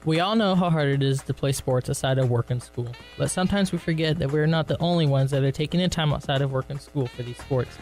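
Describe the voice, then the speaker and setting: A man's voice: a little high, fast, hardly any pauses, darkish tone. A young man reading a scripted public service message, with music behind him. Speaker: student advisory team member.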